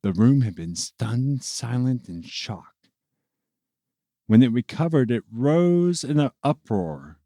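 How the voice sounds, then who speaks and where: Male story narrator: relaxed, dark-toned delivery, slow and deliberate, with theatrical phrasing for scripted tales. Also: mid-range voice, no room sound, studio quality.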